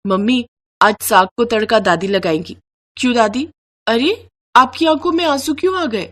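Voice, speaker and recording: A woman's voice: a little high, moderate pace, natural voice. A woman voicing a sweet, caring young bride who speaks earnestly and respectfully, eager to please. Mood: affectionate.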